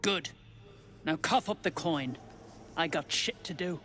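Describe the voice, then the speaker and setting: A man's voice: a little high, a little slow, pushed and bright, faint music behind. A man playing a foul-mouthed, greedy dwarf thug in a fantasy game, talking in a coarse, threatening way. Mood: surly, demanding.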